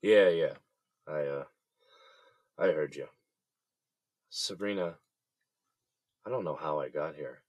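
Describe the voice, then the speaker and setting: A man's voice: a bass voice, takes it slowly, pauses often, darkish tone, no room sound, heavily acted. An actor playing a troubled, subdued businessman in jail in an audio drama, speaking in a hesitant, downcast manner. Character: troubled, subdued jailed businessman, adult man.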